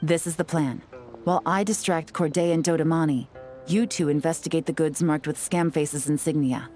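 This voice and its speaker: A woman voicing a poised, sly female spy who talks with smooth, teasing politeness in a video game. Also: low-pitched, fairly even intonation, moderate pace, darkish tone, faint music behind, lightly acted.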